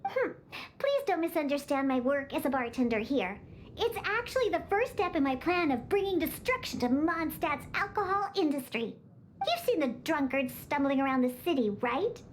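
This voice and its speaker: A woman's voice: high voice, very animated, normal speed, a little hiss or hum. An actress playing a feisty young cat-girl bartender in a video game, with a cutesy, bossy, indignant manner. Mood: indignant, determined. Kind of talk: video game character acting.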